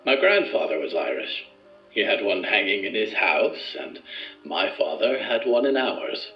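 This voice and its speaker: An earnest research subject in an audio drama, formal and deliberate. His low voice moves slowly, choosing each word with care. The sound is a muffled, echoing room with music behind. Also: sing-song. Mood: measured, reserved. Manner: formal, measured, deliberate wording.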